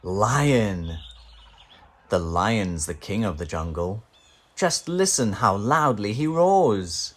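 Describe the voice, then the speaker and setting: A man reading a children's picture book aloud in an animated, theatrical way, drawing out key words. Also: lowish voice, takes it slowly.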